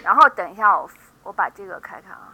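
Bright-voiced woman hosting a sound check. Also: mid-range voice, big pitch swings, quick pace, creaky, rough recording. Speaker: live event host. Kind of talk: casual sound-check chat.

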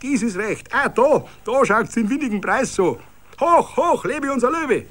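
A man voicing hoarse, jeering drunken Bavarian village revelers in a radio story, bawling and slurring. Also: very high-pitched, expressive, unhurried, pitched above the performer's own voice, full character voice. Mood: gleeful. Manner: bawling, slurred festive shouting.

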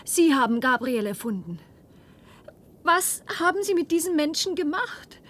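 A woman's voice: high-pitched, big pitch swings, measured pace, fairly bright tone, exaggerated voice. An actress playing an urgent, bewildered woman who questions insistently in a science-fiction radio drama.

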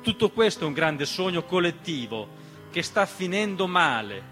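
A man performing a dramatic political theatre monologue in an emphatic, rhetorical manner with deliberate repetition. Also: high voice, very animated, bright, forceful tone. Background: piano accompaniment.

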